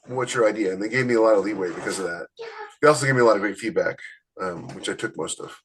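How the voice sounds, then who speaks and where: A man with a slightly low voice speaks as a podcast co-host and author. His delivery is casual and conversational, built on personal anecdote, and he tends to act out the people he quotes. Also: quick pace, slightly rough.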